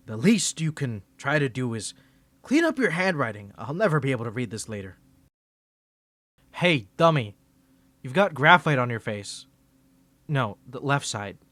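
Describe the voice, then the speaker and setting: A man's voice: mid-range voice, a bit bright, no echo. A man voicing a flustered young tsundere male student rival in an ASMR roleplay, teasing and defensive, stammering when caught off guard. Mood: exasperated. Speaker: ASMR creator.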